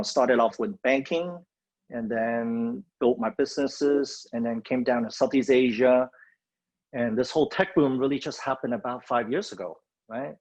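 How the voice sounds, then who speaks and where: A man's voice: mid-range voice, darkish tone. A man from Hong Kong giving a guest talk on a video call, speaking loosely and conversationally with many fillers. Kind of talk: webinar presentation. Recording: dull lo-fi video call audio.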